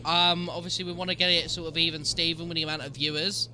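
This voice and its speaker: A man's voice: fairly high pitch, level tone, fast talker, bright, forceful tone, a little hiss or hum. A man with a cold and a sniffly voice, chatting casually with his stream viewers while answering game trivia aloud. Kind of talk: casual live-stream commentary.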